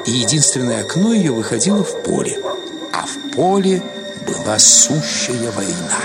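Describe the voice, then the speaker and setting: Man narrating with animated, swooping intonation. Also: medium pitch. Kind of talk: dramatic literary audiobook narration. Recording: loud music behind the voice. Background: loud music.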